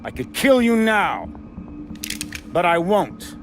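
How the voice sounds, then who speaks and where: An actor playing a ruthless, self-righteous corporate warlord villain in a cinematic video game, with a menacing manner. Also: male voice, sits high, sing-song, slow pace, faint music behind, heavily acted. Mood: cold conviction. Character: ruthless older male corporate warlord villain, convinced he saves the world.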